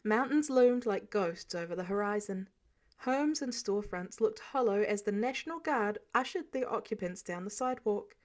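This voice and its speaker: A woman's voice: mid-range voice, lively intonation, normal speed. A woman reading a story aloud as scripted audiobook narration. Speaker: amateur audiobook reader.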